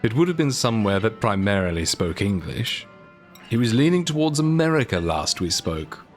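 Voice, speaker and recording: An actor playing a suave, self-assured, teasing man in an audio drama, talking in a wry, drawling, smooth way. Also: lowish voice, big pitch swings, normal speed, faint music behind. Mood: cool, candid.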